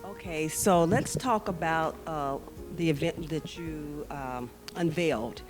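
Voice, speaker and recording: A woman's voice: low voice, slow pace, music in the background. A woman hosting a local TV talk show, interviewing guests in an upbeat, enthusiastic manner. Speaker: TV show host. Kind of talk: friendly interviewing.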